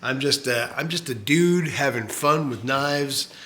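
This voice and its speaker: Middle-aged guy rambling about his knives, bright forceful voice, lots of uhs and goofy self-jokes. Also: mid-range voice, unhurried. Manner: self-mocking, jokey, rambling with many fillers. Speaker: knife review channel host. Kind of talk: casual rambling knife review.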